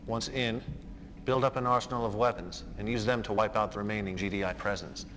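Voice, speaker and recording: An actor playing a commanding, menacing villain warlord in a video game cutscene, smug and authoritative. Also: male voice, on the low side, fairly even intonation, brisk, a bit bright, slight background noise, natural voice. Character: commanding, self-crowned villain warlord giving orders. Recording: reverberant game cutscene audio.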